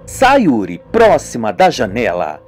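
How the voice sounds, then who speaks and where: A woman's voice: very deep voice, huge pitch swings, slow pace, darkish tone. A woman narrating a radio soap opera in a dramatic, scripted storytelling style. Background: music.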